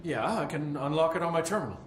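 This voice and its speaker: A fussy male operator who talks in a formal, pedantic way and gets flustered under pressure, as an actor plays him. His pitch swings widely. The voice sounds roomy, with faint noise behind it. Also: lively intonation, fast, close to an everyday voice. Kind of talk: video game cutscene acting. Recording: echoey room, faint noise.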